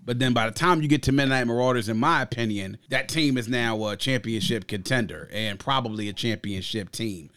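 A man's voice: medium pitch, fairly quick, few pauses, a bit bright, clean tone. A man with a mid-range voice hosts a music discussion. He talks reflectively and conversationally, laying out his opinions in long, explanatory stretches. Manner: reflective, explains through extended analogies. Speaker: host of an album review discussion.

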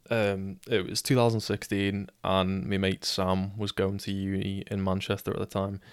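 A young man from Liverpool with a Scouse accent, hosting a casual solo podcast and telling a story in a monotone, sweary way, often tripping over his words. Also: lowish voice, smooth, no room sound, clean studio sound. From Liverpool, England. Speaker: podcast host.

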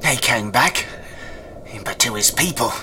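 An actor playing a fearful, superstitious guide in a radio drama, solemnly telling ominous old legends. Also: male voice, mid-range voice, expressive, a little slow, noisy background, natural voice.

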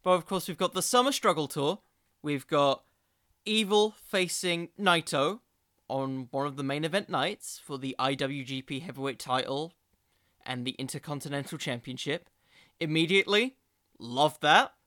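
Man, podcast host, higher-pitched voice, very bright tone, dry close sound, casual opinionated commentary. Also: normal speed, no room sound. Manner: informal, opinionated, digressive.

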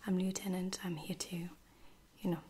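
Whispered ASMR roleplay, woman acting as kind female soldier medic, breathy, hesitant, apologetic, soothing, narrow pitch movement, noticeably noisy recording. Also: flat delivery, lightly acted. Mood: gentle, hesitant. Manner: hesitant, gentle, reassuring, with soft-spoken pauses. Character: caring, apologetic female space-soldier lieutenant tending a wounded stranger.

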